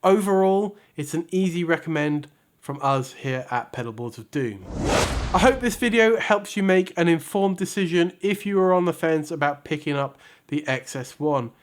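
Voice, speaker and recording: Higher-pitched man presenting a product review. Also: animated, normal speed. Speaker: host of a guitar pedal review channel. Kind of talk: scripted product review to viewers.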